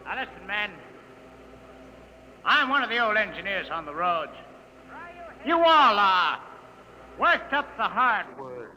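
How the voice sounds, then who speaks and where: Bitter older engineer stirring up strikers; slow, sharp delivery in an echoey hall on a noisy old radio recording. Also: male voice, high voice, big pitch swings, exaggerated voice. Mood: bitter, rousing. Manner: haranguing, folksy, rabble-rousing. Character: resentful old road engineer agitating a strike meeting. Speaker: actor. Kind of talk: 1950s radio drama acting.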